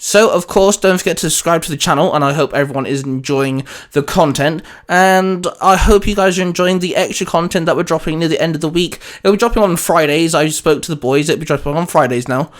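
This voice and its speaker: Man, higher-than-average voice, channel host, informal, chatty, casual discussion. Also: a little fast, hardly any pauses.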